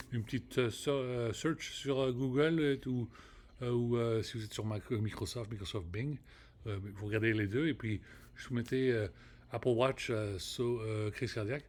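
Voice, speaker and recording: A man's voice: mid-range voice, a little mellow. A man hosting his own podcast, talking casually in with many restarts and fillers and slipping into English words. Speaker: podcast host. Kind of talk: casual monologue to camera.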